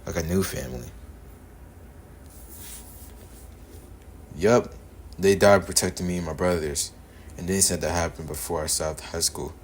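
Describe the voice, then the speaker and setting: A man voicing an earnest, guarded young male devil hunter from another universe, delivering animated-story dialogue. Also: low-pitched, fairly quick, a little hiss or hum, close to an everyday voice. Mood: earnest, reflective. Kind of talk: animated-series character dialogue, recorded in repeated takes.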